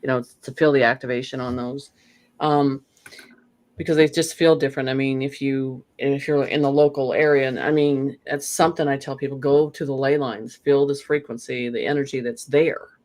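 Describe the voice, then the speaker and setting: A guest in a casual conversation, rambling and animated, often saying "you know.". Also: mid-range voice, normal speed. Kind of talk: casual chat.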